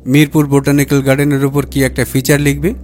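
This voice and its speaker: A nervous, deceitful wife in an audiobook story, as a male narrator puts her on. The voice is lifted higher and sounds hesitant, feigning ease. Ambient noise runs behind it. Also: completely flat delivery, fast, darkish tone, natural voice. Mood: feigned ease. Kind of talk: acted audiobook dialogue.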